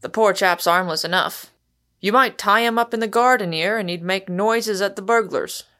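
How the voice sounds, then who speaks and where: A woman's voice: mid-range voice, moderate pace, pushed and bright, lightly acted. A woman voicing a cheeky, jovial working-class Cockney man in a storybook, breezy, blunt and slangy. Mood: affable. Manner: breezy, slangy, cheerfully mocking.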